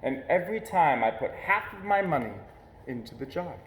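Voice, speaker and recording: Male storybook reader, fairly high voice, expressive read-aloud with deliberate pauses, echoey room. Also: a little hiss or hum. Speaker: reader of a children's picture book. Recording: echoey, roomy sound.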